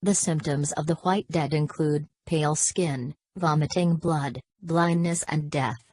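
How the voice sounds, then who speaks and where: A woman's voice: low-pitched, fairly even intonation, measured pace, a little mellow, dry recording. A woman voicing a formal emergency alert announcer in a scripted broadcast, with a stilted, synthetic-sounding delivery. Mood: formal, grave.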